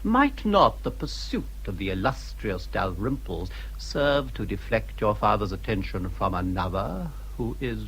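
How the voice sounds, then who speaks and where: An actor playing a suave, flattering English gentleman in a period radio drama, formal and courtly. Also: on the low side, a little slow, few pauses, slight background noise, heavily acted, rough recording. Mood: ingratiating. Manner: courtly, smooth-talking, formal.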